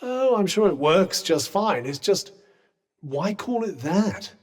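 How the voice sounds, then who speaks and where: A British actor voicing a witty, haughty magical cuff in a video game, speaking in a dry, wordy, formal way. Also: male voice, high voice, sing-song, slow pace, long reverb tail, exaggerated voice. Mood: dry, sardonic.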